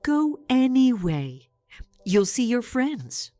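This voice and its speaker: A doting old mother , played by a man, coaxing gently in a dramatised audiobook. The voice is high and slow, with lively swoops, recorded dry. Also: no room sound, faint music behind, full character voice. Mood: coaxing, fond.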